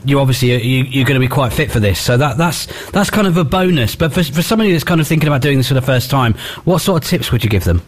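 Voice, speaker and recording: A man's voice: medium pitch, expressive, fast, hardly any pauses. A British man presenting on the radio, chatting and interviewing in an informal, rambling, jokey way.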